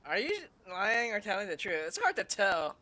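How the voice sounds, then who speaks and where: A woman's voice: medium pitch, very animated, normal speed, bright, forceful tone. A woman streamer giving casual, off-the-cuff live commentary on a game. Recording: game music behind. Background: game music.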